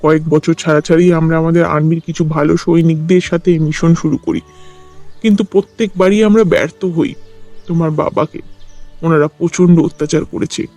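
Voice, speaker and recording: Mellow, grave army officer played by a man. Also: a little high, fairly even intonation, moderate pace, natural voice. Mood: grave, regretful. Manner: solemn, explanatory. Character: grave, regretful senior army officer, old family friend. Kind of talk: dramatized cartoon story acting. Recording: music under the voice.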